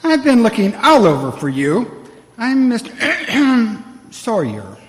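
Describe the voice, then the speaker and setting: An actor playing a fussy, anxious, cowardly store employee with a stammering, obsequious manner in an old-time radio play. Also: male voice, very high voice, wildly swooping pitch, takes it slowly, a little hiss or hum, heavily acted. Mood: anxious, ingratiating. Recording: roomy stage hall with long reverb.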